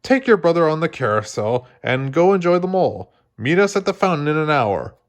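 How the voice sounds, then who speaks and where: A man's voice: a little high, big pitch swings, moderate pace, pitched above the performer's own voice. A man voicing a caring but firm superhero mother who is easily exasperated, in a storybook reading. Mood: patient, coaxing.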